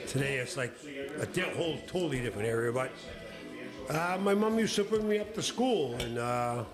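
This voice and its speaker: A man, a podcast guest telling casual stories in a blunt, profane way with halting restarts. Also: mid-range voice, animated. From Boston, Massachusetts. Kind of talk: casual storytelling. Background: music.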